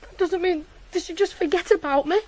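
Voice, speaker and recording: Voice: very high-pitched, brisk. A performer playing a proud, stubborn, wounded young farm boy in a radio drama. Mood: hurt, tearful. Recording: 1980s radio play, some background noise.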